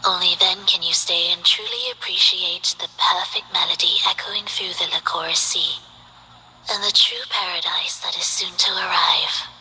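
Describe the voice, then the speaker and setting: A woman voicing a serene, mysterious young female performer in a game, speaking slowly and poetically. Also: on the low side, fairly even intonation, sharp tone, slight background noise, poor audio. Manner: slow, soothing and poetic. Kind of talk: game voice lines.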